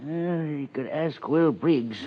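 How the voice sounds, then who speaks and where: An actor playing a halting, uneasy small-town supervisor in 1950s radio drama style. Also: male voice, on the high side. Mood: evasive, hesitant. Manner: hesitant, halting. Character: shaken, hesitant male small-town supervisor. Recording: muffled 1950s radio broadcast.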